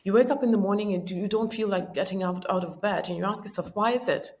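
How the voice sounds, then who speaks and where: A woman's voice: medium pitch, fast talker, a little mellow. A woman whose first languages are Estonian and Russian, speaking English as a podcast guest in an earnest, reflective, coaching tone. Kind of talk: reflective conversational explanation.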